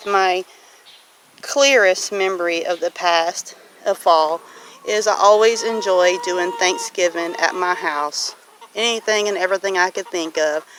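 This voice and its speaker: A woman's voice: on the low side, a bit bright, low-quality recording. A middle-aged woman talking in a plainspoken, folksy, reflective way, answering questions casually for a homestead vlog. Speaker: homesteading vlogger. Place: outdoors on a farm homestead. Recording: outdoor noise with clicks, farm geese honking. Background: farm animals, geese honking.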